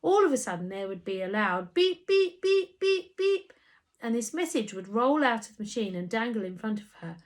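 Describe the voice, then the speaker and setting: A woman reading a children's story aloud in an animated way, with dramatic emphasis. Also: fairly high pitch, moderate pace. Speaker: storybook reader. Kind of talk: expressive scripted children's story narration.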